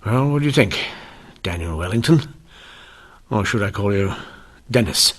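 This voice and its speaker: An actor playing a shrewd, wry police inspector in an old-time radio mystery, genteel and unhurried in manner. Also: male voice, fairly low pitch, lively intonation, slightly rough. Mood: calm, deductive. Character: shrewd, wry, courteous male police inspector, 1890s Canada.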